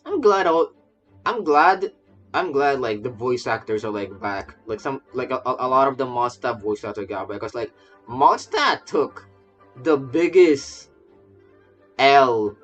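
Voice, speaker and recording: A man's voice: mid-range voice, fairly bright tone, dull audio. A man livestreaming a game with casual, rambling commentary, often stammering and repeating phrases. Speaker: game streamer, VTuber. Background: faint game music.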